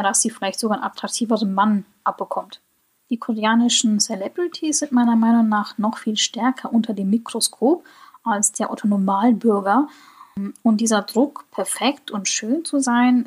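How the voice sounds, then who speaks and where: A woman from Germany hosting a solo podcast, giving her opinions in a reflective way and slowing down on key points. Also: a little high, a little mellow, husky. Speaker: podcast host.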